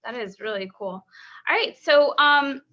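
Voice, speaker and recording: A woman's voice: high-pitched, lively intonation, a little slow, bright, forceful tone. A woman hosting a live-streamed interview, upbeat and full of "um"s. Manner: upbeat, filler-heavy. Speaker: host. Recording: lo-fi stream audio.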